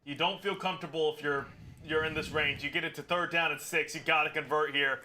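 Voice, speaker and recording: A man co-hosting a live football watch party, calmly explaining game situations and strategy. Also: on the high side, brisk, slight background noise. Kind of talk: casual football analysis on a livestream.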